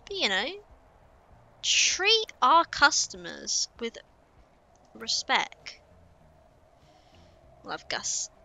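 A young woman talks her way through a building game, muttering and humming to herself as she goes. Her voice is bright and lively, rising and falling freely, and she takes her time. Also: a little high, extremely slow, vocal fry, pauses often. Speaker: streamer. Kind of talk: casual gameplay commentary.

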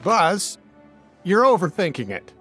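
A man playing a friendly cowboy doll who leads the other toys, reassuring and take-charge, in an animated game. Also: high voice, measured pace, slightly rough, faint music behind, exaggerated voice.

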